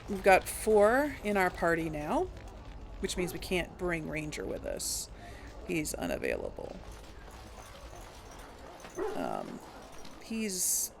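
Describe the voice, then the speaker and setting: A woman's voice: mid-range voice, gravelly, a little hiss or hum. A young woman casually commentating as she plays a video game, chatty and amused, thinking aloud. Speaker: let's play host.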